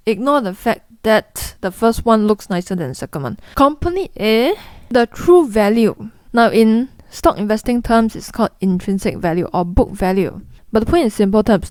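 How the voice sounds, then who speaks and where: A woman's voice: mid-range voice, lively intonation, moderate pace, a little mellow, no room sound. A young woman with a Singaporean accent, explaining investing mistakes to camera in a blunt, chatty way. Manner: blunt, chatty, mimics people in quotes. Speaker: investing vlogger.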